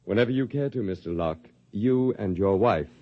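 An actor playing a calm otherworldly male alien who runs a hospital, speaking in a measured, politely condescending way in a 1950s radio drama. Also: lowish voice, no room sound, close to an everyday voice. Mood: calm, assured. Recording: dull 1950s radio broadcast with music.

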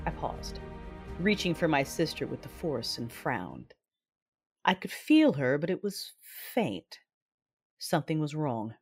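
Woman doing a cold sith commander lady for an audio drama, deliberate n menacing, big music behind her. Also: low-pitched, very animated, breathy edge, full character voice. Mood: grim narration. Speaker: narrator and lead character. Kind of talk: first-person dramatic narration in an audio drama. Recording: loud music bed underneath.

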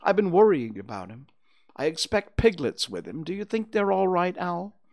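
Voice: mid-range voice, very animated, normal speed, a little mellow, pitched above the performer's own voice. A storybook reader voicing an earnest, eager and kind-hearted little boy. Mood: worried. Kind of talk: children's storybook character reading.

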